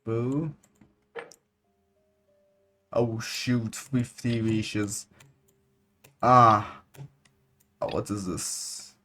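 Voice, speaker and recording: A man commentates casually on his gameplay with clear game music behind him. His delivery is slow, and he thinks aloud with frequent exclamations. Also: lowish voice, fairly even intonation, a bit bright. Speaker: gaming streamer.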